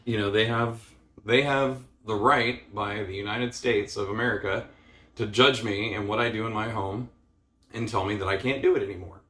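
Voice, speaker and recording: A man's voice: fairly low pitch, steady tone, normal speed, fairly bright tone, echoey room. A man talking casually into his camera in a frustrated, tired ramble, full of fillers and casual swearing. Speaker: vlogger. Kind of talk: casual personal vlog rant.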